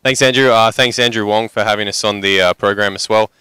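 A man's voice: on the low side, steady tone, quick pace, pushed and bright, clean tone, dry recording, clean studio sound. A young man answering interview questions outdoors into a handheld mic, earnest with some fillers. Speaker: interviewee, larp team leader. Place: outdoors at a convention.

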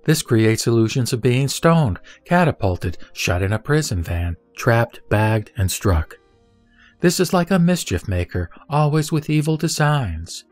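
A man reading scripture as a solemn scripted narration, in measured, deliberate phrases with pauses. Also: medium pitch, big pitch swings, no echo. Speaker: sutra reciter. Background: background music.

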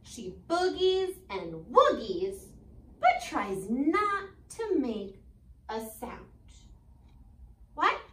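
An American woman in her twenties, a schoolteacher reading a picture book aloud to children with expressive, chatty asides. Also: high voice. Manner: animated, expressive, deliberate, questioning young listeners. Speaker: elementary school teacher. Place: school classroom, indoors. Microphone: phone camera a few feet away. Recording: roomy classroom, mic at a distance.

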